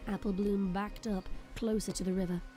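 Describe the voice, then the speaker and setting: A woman narrates an audio drama in a scripted, dramatic storytelling style. Her voice sits at a medium pitch, with clearly audible music playing underneath. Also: level tone, normal speed, mellow.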